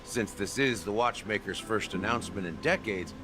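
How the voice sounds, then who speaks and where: A male actor playing a worldly veteran schemer in a video game, recounting old conspiracies in measured, expository lines over music. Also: lowish voice, close to an everyday voice. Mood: sly, knowing. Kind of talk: scripted video game dialogue.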